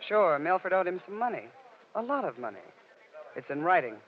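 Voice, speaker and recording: A woman playing a scheming, sharp-tongued nightclub dame with a hot temper and a flip, slangy manner in an old-time radio detective drama. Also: fairly low pitch, sing-song, brisk. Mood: sly, flip. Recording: dull lo-fi radio recording, background noise.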